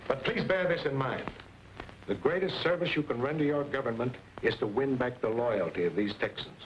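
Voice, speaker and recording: An actor playing a stern, principled American army commander in a western, speaking in a formal, measured, authoritative way. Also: male voice, mid-range voice, natural voice. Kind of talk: 1940s western film acting. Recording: dull, noisy old film soundtrack.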